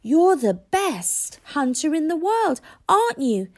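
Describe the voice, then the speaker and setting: A woman's voice: high-pitched, big pitch swings, slow pace, no echo, studio quality, pitched above the performer's own voice, heavily acted. Woman voicing an anxious, pleading Viking boy. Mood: urgent. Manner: urgent, pleading.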